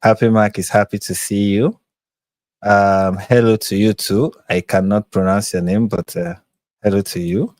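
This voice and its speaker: Nigerian man, live stream host, playful and chatty, low voice, dry sound. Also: steady tone, moderate pace, darkish tone, no room sound. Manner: laughs often, chatty and playful. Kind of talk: casual live stream chat.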